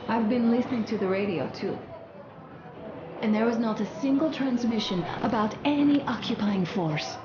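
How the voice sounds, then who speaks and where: An actress playing a gentle, thoughtful young wife in a post-apocalyptic video game, speaking softly and musingly. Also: female voice, fairly high pitch, lo-fi sound, natural voice. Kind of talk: dramatic game dialogue. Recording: echoey room with clear background noise.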